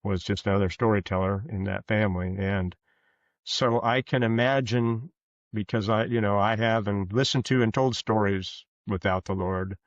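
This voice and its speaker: Male podcast guest, deeper register, earnest and halting, reflective delivery, dull roomy recording. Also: fairly even intonation. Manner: halting, earnest, rephrases as he goes. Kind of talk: reflective interview conversation. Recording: muffled, roomy, echoey sound.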